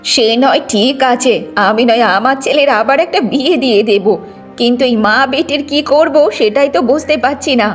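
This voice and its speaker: A woman's voice: sits high, brisk, faint music behind, lightly acted. A woman voicing a bitter, domineering old village mother-in-law in a cartoon moral story. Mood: bitter complaining. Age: older.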